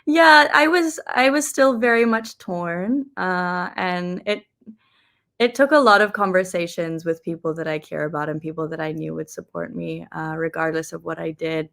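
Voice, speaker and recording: A woman's voice: on the low side, normal speed, gravelly, a bit bright. A young woman from New Zealand, a pageant contestant, answering interview questions in a reflective, earnest way and slipping in Filipino phrases.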